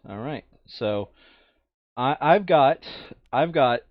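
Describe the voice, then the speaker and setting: A man's voice: medium pitch, animated, slow pace, no room sound, phone-quality sound. A man chatting casually as a player planning a board game session, thinking aloud and repeating his phrases.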